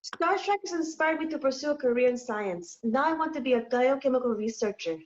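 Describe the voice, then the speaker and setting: An actress playing a gentle, earnest young daughter and science fan in a screenplay table read. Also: female voice, high voice, expressive, fairly quick, muffled recording. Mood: earnest, hopeful.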